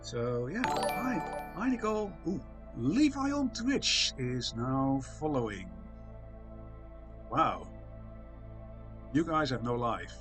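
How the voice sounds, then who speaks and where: A man live-streaming, chatting casually with viewers, reading chat aloud with a soft, soothing voice and self-deprecating humour. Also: mid-range voice, big pitch swings, slow pace, long pauses, music in the background.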